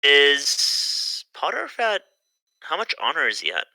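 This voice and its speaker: Sharp-toned young man on commentary. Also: fairly high pitch, wildly swooping pitch, slow, vocal fry, no room sound, rough recording. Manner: hesitant, lots of "um" fillers. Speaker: tournament commentator. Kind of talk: casual live game commentary.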